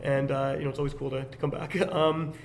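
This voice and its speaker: Hoarse, gravelly podcast host. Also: male voice, mid-range voice, brisk, a little hiss or hum. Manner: relaxed, rambling with frequent fillers. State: losing his voice. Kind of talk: casual podcast chat. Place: basement.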